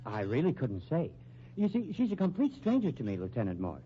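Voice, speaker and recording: A man's voice: a little high, wildly swooping pitch, fairly quick, slight background noise. An actor playing a timid, fussy, henpecked man in a 1950s radio drama, hesitant, apologetic and over-precise. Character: timid, fussy, henpecked mild citizen reporting a body. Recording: muffled old 1950s radio recording.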